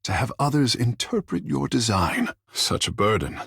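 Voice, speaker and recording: A playful fish-man demigod from a video game, kinda low n husky, super poetic and dramatic, very dry sound. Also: animated, normal speed, a bit bright, dry recording, exaggerated voice. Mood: wistful, lofty. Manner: theatrical, lyrical, flowery turns of phrase. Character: proud, playful godlike fish-man artist, young and poetic.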